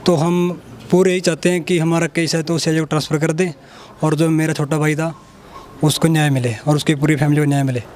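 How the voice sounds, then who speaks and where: A man's voice: a little high, level tone, a little fast, darkish tone. A man being interviewed, giving an earnest, orderly statement. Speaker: interviewee, family member.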